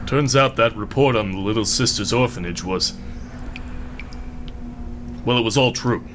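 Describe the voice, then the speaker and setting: An actor playing a gruff, plain-spoken police chief in a radio drama, deferential and a little hesitant, with colloquial phrasing. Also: male voice, fairly low pitch, measured pace, close to an everyday voice. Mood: uneasy, deferential. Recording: steady background noise over voice.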